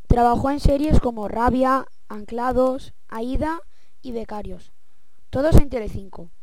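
A woman's voice: fairly high pitch, measured pace, no room sound. A woman reading out a guest's biography steadily, listing item after item. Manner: steady listing read-aloud. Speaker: school radio presenter.

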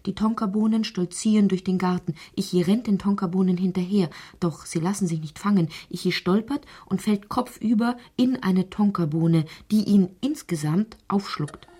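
A woman narrating a literary audio drama in a breathy voice that often drops toward a whisper, reciting deliberately and poetically. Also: mid-range voice, mellow, dry recording.

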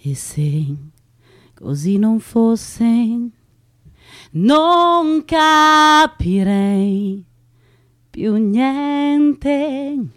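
A woman performing a theatrical, melancholy love song with slow, drawn-out phrasing. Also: fairly high pitch, expressive, no room sound, studio quality.

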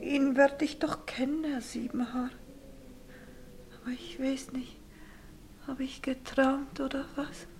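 A sickly village wife with a high, plaintive voice. She is fearful and jealous and speaks in dialect. The old radio play recording carries faint noise. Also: flat delivery, unhurried, long pauses, darkish tone. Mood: weak, afraid. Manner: plaintive, often dropping to weak whispers.

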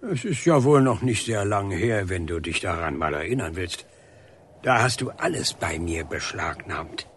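A man acting in a radio drama as an aggrieved older painter, husky, deliberate and insistent, with grunting hesitations. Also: sits low, expressive, a little hiss or hum. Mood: accusing. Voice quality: husky, rough. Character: aggrieved, defiant older painter confronting a policeman.

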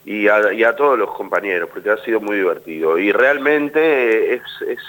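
An actor giving a relaxed radio interview in an Argentine accent. The man's voice sits fairly low. He often restarts and repeats words while he works out a thought. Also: normal speed, rough recording. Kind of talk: casual radio interview talk.

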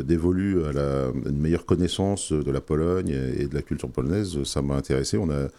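Very deep, mellow man, hesitant. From France. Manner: hesitant, many pauses and fillers. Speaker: association president. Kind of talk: radio interview guest.

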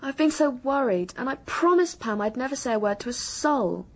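A woman's voice: sits high, expressive, moderate pace, husky, exaggerated voice. An actress playing an anxious, earnest English schoolgirl in a radio drama, confiding with an apologetic manner. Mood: worried, confiding.

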